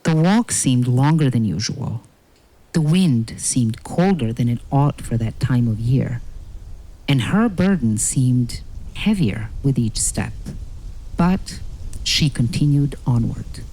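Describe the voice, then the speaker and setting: Slow, measured woman storyteller. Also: very deep voice, expressive, dark tone, poor audio. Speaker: narrator. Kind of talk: scripted fairy-tale narration.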